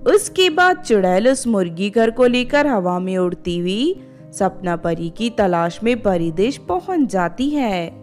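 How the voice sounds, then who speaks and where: A woman's voice: mid-range voice, darkish tone. A woman narrating a scripted children's story as a storyteller. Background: background music.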